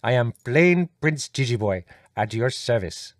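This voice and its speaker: A man voicing an excitable, earnest male guest who speaks in a pedantic, effusive, formal way. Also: medium pitch, a little slow, no room sound, studio quality, lightly acted.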